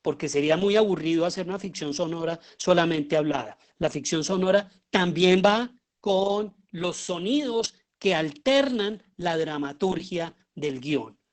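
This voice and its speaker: A man with a Colombian accent giving an explanatory lecture as a teacher, often pausing and posing rhetorical questions. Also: sits high. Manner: halting, with pauses and rhetorical questions. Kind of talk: explanatory lecture on writing audio fiction.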